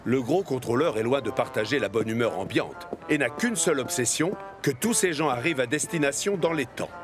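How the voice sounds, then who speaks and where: Male narrator, children's storytelling, scripted, mid-range voice, clear musical backing. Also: medium pitch, animated, fairly quick. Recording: music behind the voice.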